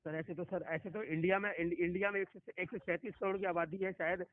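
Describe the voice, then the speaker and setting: A man's voice: sits high, steady tone, brisk. A man working as a loan company phone agent, arguing insistently and often restarting and repeating his phrases. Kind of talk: argumentative phone conversation. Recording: noisy narrowband phone call recording.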